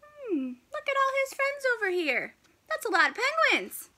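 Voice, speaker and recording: Very high, bright, expressive woman storyteller. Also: normal speed. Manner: enthusiastic, expressive. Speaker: children's read-aloud host. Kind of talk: animated storytelling for young children. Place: small indoor room before a fabric backdrop.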